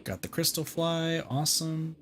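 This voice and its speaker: A man's voice: a little high, fairly even intonation, normal speed, slight background noise. A man giving casual, reflective livestream commentary on a game in an informal way. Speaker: game streamer. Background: faint game music.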